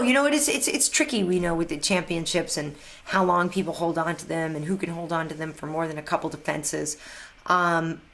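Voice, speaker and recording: A woman's voice: on the low side, a bit bright. A woman hosting a live chat, answering fan questions in an enthusiastic, chatty way that is full of restarts and repeated words. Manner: answers viewers' questions on the fly.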